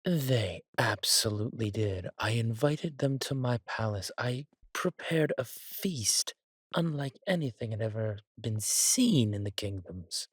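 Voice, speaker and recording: A husky, breathy villain king, acted by a man, drawling slowly in a dry, close recording. He is arrogant and sardonic, a theatrical sulker with a cruel streak, in the manner of a roleplay audio drama. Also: mid-range voice, expressive, no room sound. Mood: defensive, sardonic. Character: arrogant, petulant villain king, cruel yet doting on his consort.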